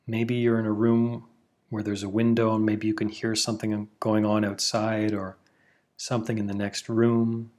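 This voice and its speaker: A man gently leads a guided meditation. His voice sits slightly low, and he speaks slowly and calmly, leaving long pauses between soothing instructions. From Canada.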